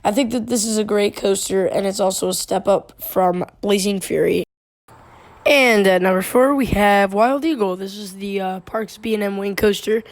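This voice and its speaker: A woman's voice: medium pitch, normal speed. A woman with a congested, sick voice, talking casually in a voice-over review with plenty of fillers and restarts. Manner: loose and chatty, many fillers and restarts. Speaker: theme park video creator.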